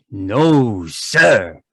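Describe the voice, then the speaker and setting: A man's voice: medium pitch, very animated, drawn-out words, husky, dry recording, clean studio sound, exaggerated voice. Slick sarcastic tough guy. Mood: mocking. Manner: clipped, mocking. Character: slick, sarcastic, impatient tough male stranger. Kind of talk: noir audio drama acting.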